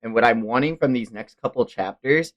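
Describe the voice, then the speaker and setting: A man's voice: mid-range voice, a little fast, a bit bright. A man hosting a casual livestream chat about manga, talking in hyperbolic fan slang and swearing often. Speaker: stream host.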